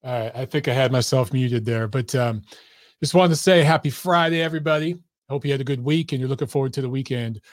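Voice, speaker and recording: A hoarse man hosting a casual livestream about boxing, talking loosely with slang and lots of fillers. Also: mid-range voice, quick pace, no echo, clean studio sound. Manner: chatty, loose, slangy, with many fillers and restarts. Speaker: boxing commentary channel host.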